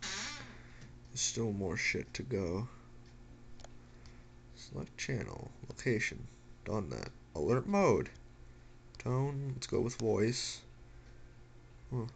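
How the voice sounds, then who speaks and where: A man's voice: mid-range voice, big pitch swings, slow, creaky, pauses often. A man giving casual setup commentary, muttering to himself and reading screen prompts aloud. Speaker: amateur gadget reviewer. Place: small indoor room at home. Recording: faint background noise with device clicks.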